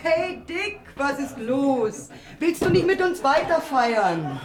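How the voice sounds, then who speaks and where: A woman's voice: high voice, sing-song, slow, a crowd in the background, exaggerated voice. An actress playing a familiar, concerned woman companion in a German radio drama, in an echoey room with people behind her. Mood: concerned, coaxing.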